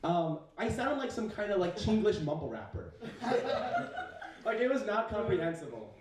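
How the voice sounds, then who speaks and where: A man's voice: sits high, very animated, moderate pace, heavy reverb, far from the mic, low-quality recording. A young man whose first language is Chinese, doing a casual stand-up set full of fillers, swearing and self-mockery. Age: young adult. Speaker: stand-up comedian. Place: university comedy stage.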